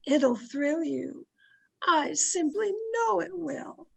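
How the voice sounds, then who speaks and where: An actress playing a gushing, self-satisfied, bossy society hostess in an old-time radio drama, speaking in an affected, grand manner. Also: female voice, high voice, expressive, slow, vocal fry, darkish tone, exaggerated voice.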